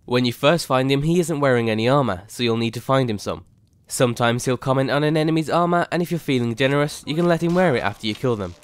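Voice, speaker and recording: A man's voice: mid-range voice, quick pace, smooth. A man reading scripted narration as a video game mod reviewer, in an explanatory way.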